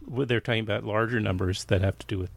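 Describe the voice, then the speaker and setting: A middle-aged man hosts in casual conversation with a fairly low voice full of vocal fry. His delivery is halting, circling back and restarting sentences. The sound is very dry, with almost no room in it. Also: fairly even intonation, no room sound. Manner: halting, restarts and trails off mid-thought. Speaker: podcast host.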